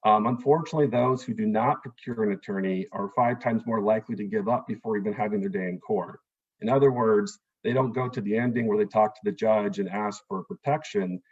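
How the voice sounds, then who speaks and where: A man's voice: fairly low pitch, fairly quick, a little mellow, slightly rough, lo-fi sound. A man, an immigration attorney, giving an earnest, explanatory town hall talk over a video call, with frequent "um"s and "uh"s.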